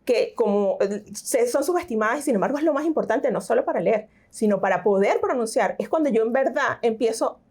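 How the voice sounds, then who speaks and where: A middle-aged woman with a Venezuelan accent, a teacher giving an interview and explaining reading and pronunciation with lively examples. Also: on the high side, brisk, hardly any pauses, slightly rough. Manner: explanatory, animated, frequent fillers. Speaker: teacher and tutor, interview guest. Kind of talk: conversational interview.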